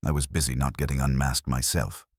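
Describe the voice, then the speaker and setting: A man's voice: very deep voice, level tone, a little fast, no room sound, clean studio sound. A man voicing a streetwise detective's assistant in an audiobook, wry, clipped and flippant. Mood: defensive, wry. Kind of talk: audiobook character acting.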